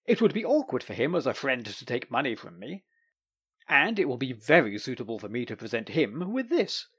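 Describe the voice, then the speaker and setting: A man voicing a fussy middle-aged male doctor in a storybook reading, speaking emphatically with a slightly husky voice. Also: mid-range voice, sing-song, fairly quick, fairly bright tone, heavily acted. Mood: pondering. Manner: emphatic, indignant.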